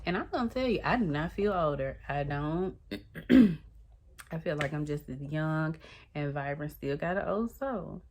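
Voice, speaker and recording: A woman, chatting casually to her viewers and calling them y'all. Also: a little slow. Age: 30s. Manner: chatty, addresses viewers as y'all, lively swings in pitch. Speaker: vlogger. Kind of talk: casual chat to the camera.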